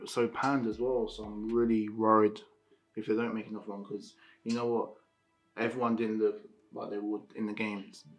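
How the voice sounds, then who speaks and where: A British man chatting casually as a reviewer, in loose, slangy, informal phrasing. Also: lowish voice. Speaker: film and game reviewer. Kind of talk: casual chat.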